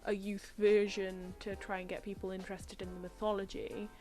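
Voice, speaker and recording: A young Welsh woman hosting a casual podcast, chatty and halting as she asks questions. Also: mid-range voice, little pitch movement, warm tone, faint music behind. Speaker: podcast host. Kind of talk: casual chat.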